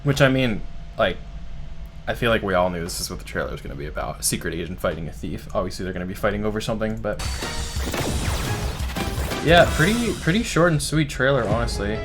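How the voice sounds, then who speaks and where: A man hosting a live stream, reacting to a game trailer in casual, excited, rambling talk full of "like". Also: lowish voice. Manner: excited, rambling, heavy use of "like". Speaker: streamer host.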